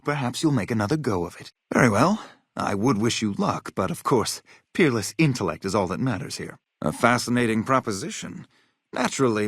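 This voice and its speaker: A man's voice: mid-range voice, slightly rough, no room sound, clean studio sound. A man voicing an arrogant, lazy genius soldier in a video game, pompous and pedantic in manner. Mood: smug, condescending. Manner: pompous, condescending, pedantic wording. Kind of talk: scripted game character dialogue.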